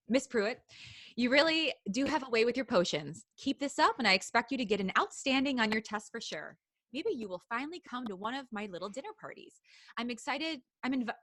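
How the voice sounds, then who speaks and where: A woman's voice: on the high side, a bit bright, no echo. A woman co-hosting a live podcast, reading a fan story aloud with laughing asides and casual chat between passages.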